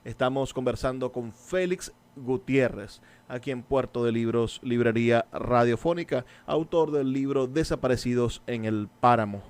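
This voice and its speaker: Radio host, mid-pitched man. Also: moderate pace, a little mellow. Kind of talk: radio interview hosting and announcements.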